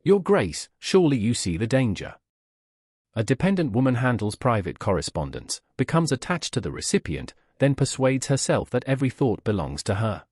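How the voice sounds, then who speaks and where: A man voicing a controlling older Regency gentleman in an audiobook, formal, condescending and commanding. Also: mid-range voice, very animated, moderate pace, breathy edge, no echo, clean studio sound. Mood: accusing.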